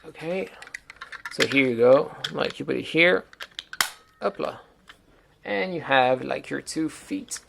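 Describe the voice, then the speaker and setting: A man's voice: medium pitch, slow pace, vocal fry, long pauses, noisy background. A man with a French accent and a rambling, hesitant delivery full of "like", giving a casual show-and-tell review at home. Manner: rambling, hesitant, heavy use of "like". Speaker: toy reviewer.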